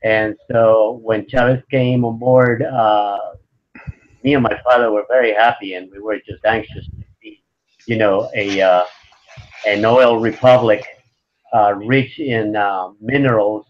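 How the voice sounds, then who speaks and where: A man's voice: fairly low pitch, steady tone, measured pace, slight background noise. Man in his fifties, lo-fi sound. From Venezuela. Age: 50s. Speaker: interview guest. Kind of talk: conversational interview answers.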